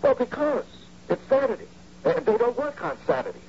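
An actor playing a scheming, nervous husband and thief in an old radio drama, smooth-talking and stammering when cornered. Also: male voice, very high voice, animated, brisk, darkish tone. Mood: flustered, placating. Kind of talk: old-time radio drama acting, narrating and dialogue. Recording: muffled, very noisy old radio recording.

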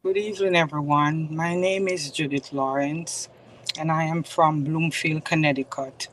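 A woman's voice: sits low, fairly even intonation, a little hiss or hum, poor audio. A middle-aged woman from Jamaica with a Jamaican accent, giving an earnest testimony as a guest author on a podcast. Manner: measured, earnest, faith-centred.

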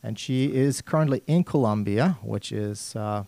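A man's voice: fairly low pitch, normal speed, vocal fry, warm tone, dry recording. A man with a German accent hosting a live business radio talk show, upbeat and chatty, laughing often. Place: radio studio.

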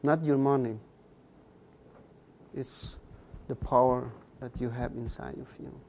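Gravelly man with a K'iche' Mayan accent. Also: medium pitch, steady tone, takes it slowly, a little mellow, slight background noise. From Guatemala. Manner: earnest, repeats phrases for emphasis. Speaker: guest. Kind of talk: earnest interview talk.

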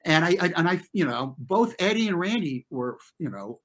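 A middle-aged man with an American accent, telling personal stories as an interview guest in an informal, halting way, often circling back to rephrase. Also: a little high, big pitch swings, a bit bright. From US, New York and New Jersey. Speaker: interview guest, former competitive swimmer. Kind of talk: reflective conversational storytelling.

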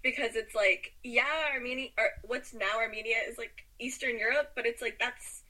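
A young woman, a poet, speaking as a podcast guest in thoughtful, rambling casual talk with many likes and soft laughs. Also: fairly high pitch, fairly even intonation, moderate pace, rough recording. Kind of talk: casual conversational podcast chat.

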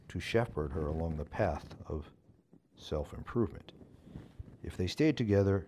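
Deep-voiced male story reader. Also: darkish tone, a little hiss or hum. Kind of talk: scripted narration of a short story.

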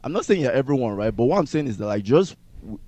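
A man co-hosting a casual debate chat, talking rapidly in slang and pushing back on his friend's points. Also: mid-range voice, big pitch swings, warm tone, no room sound. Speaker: podcast co-host.

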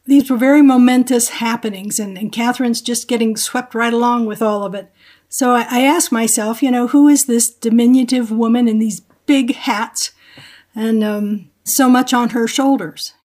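A woman's voice: fairly high pitch, steady tone, moderate pace, darkish tone. An older woman with an American accent, a historian reflecting aloud as an interview guest.

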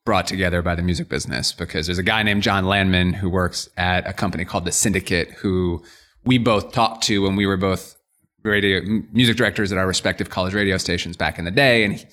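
Man, very low voice, from new york, joking storyteller, podcast guest. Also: fairly even intonation, fast talker, a bit bright. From Scarsdale, New York. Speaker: guest, restaurant guide co-founder. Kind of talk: casual podcast interview.

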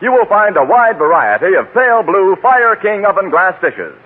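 A man's voice: sits high, very animated, moderate pace, slightly rough. An American man reading a scripted 1940s radio commercial as an announcer. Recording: muffled, narrow-band old radio recording.

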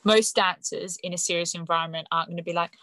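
A woman's voice: lowish voice, fairly even intonation, fast, bright, forceful tone, no room sound. A young woman hosting a podcast, chatting in a casual, informal and sympathetic way. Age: young adult. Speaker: podcast host. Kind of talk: casual chat.

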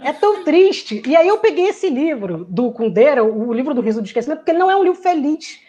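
A woman with a high voice gives a reflective talk, speaking like someone from the theater. She muses aloud and searches for words. When she quotes people, she briefly acts out their lines. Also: big pitch swings, quick pace, warm tone, highs cut off. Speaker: theater performer giving a talk.

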